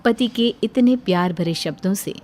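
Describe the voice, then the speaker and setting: A woman's voice: medium pitch, moderate pace, a little mellow, soft background music. A woman narrating a scripted cartoon story.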